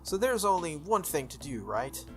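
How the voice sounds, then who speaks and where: A reader acts out a teenage schoolgirl mage from a visual novel, confident and teasing. She gives orders in a brisk, knowing way, with music underneath. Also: medium pitch, sing-song. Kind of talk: visual novel dialogue read aloud.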